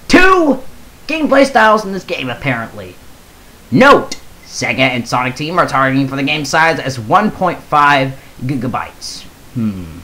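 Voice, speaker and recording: Game YouTuber, very varied intonation, medium pitch, casual news commentary, rambling, hesitant, emphatic reactions. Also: bright, forceful tone.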